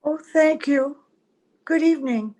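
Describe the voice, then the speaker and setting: A woman's voice: sits high, measured pace, darkish tone. A woman playing a strange, weary, evasive young woman in an old-time radio drama. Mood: hesitant, yearning. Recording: home video-call audio, music behind.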